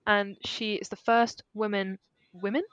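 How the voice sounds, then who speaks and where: A woman's voice: medium pitch, little pitch movement, a bit bright, no room sound, dull audio. A woman co-hosts a film podcast in a chatty, emphatic way, circling back and restarting her thoughts as she talks. Her voice sits mid-range and sounds dry and close to the mic. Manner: chatty, emphatic, quick to laugh. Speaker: podcast co-host. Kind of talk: casual film discussion.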